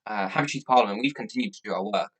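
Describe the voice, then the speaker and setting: A young man from Latvia, a native Russian speaker, chatting casually as a livestream host in a rambling, halting way. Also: fairly low pitch, a bit bright. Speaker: livestream host and youth charity campaigner. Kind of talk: casual livestream chat, thanking viewers.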